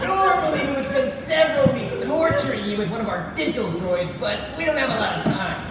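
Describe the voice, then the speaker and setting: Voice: medium pitch, animated, a little fast, lightly acted. A performer playing a pompous, gleeful villainous dictator with mock-polite, theatrical gloating in a comic stage piece. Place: large echoing competition room or hall. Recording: echoey hall from far off, loud noise.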